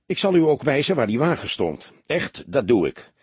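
A man's voice: medium pitch, very animated, normal speed, a little mellow, slightly rough, dry recording, pitched above the performer's own voice. A man voicing a shy, apologetic small-time crook, hesitant and wheedling, in an audiobook story. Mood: apologetic, pleading. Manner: hesitant, wheedling, colloquial Dutch.

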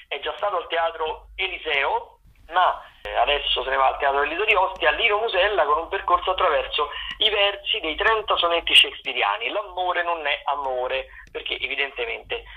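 The sound is thin and tinny, like a phone line. A man with a slightly high voice presents listings as a radio host. He talks off the cuff, haltingly, drawing out words and correcting himself as he goes. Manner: halting, improvised delivery with drawn-out words and self-corrections. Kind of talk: unscripted radio programme rundown of upcoming shows.

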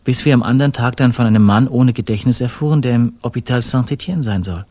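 An actor in a radio drama playing a polite, uneasy bohemian man of the world, speaking in a courteous, measured, conversational way. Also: medium pitch, a little mellow, lightly acted. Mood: recounting.

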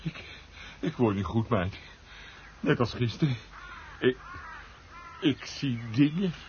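A man's voice: medium pitch, expressive, takes it slowly, a little mellow. A man playing a confused, blustering husband who stammers and repeats himself in a radio play. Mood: queasy, alarmed. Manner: stammering, blustering, broken repetitions. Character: flustered, confused husband insisting he is perfectly fine. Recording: muffled, noisy old radio play recording.

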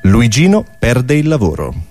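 A man's voice: mid-range voice, wildly swooping pitch, normal speed, noisy background. A man performing absurdist scripted comedy, explaining things in a theatrical, mock-documentary manner. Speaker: comic radio performer.

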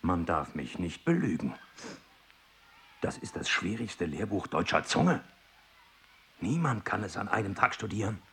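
A strict old-school teacher, bright edgy voice, really rough and raspy, swings all over. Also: male voice, deep voice, lively intonation, measured pace, pauses often, full character voice. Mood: stern, disbelieving. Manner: barking orders, then hushed and menacing. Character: harsh old-school male village teacher who loves beating pupils. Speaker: actor. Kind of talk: radio play acting.

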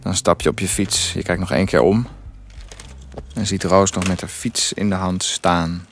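An actor playing a troubled, evasive husband who speaks hesitantly in a Dutch radio drama. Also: male voice, sits low, fairly even intonation, faint music behind. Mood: somber narration. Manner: hesitant, halting. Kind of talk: Dutch radio drama acting with inner-monologue narration.

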